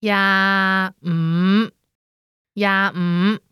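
Very slow, crisp woman speaking Cantonese. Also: medium pitch, little pitch movement, a bit bright, no echo, clean studio sound. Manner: clipped, carefully enunciated single words. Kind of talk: slow, careful language-course pronunciation.

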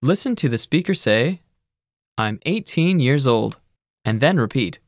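A narrator giving measured, scripted instructions in a language course. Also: medium pitch, no room sound, studio quality. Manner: even, measured teacherly instructions.

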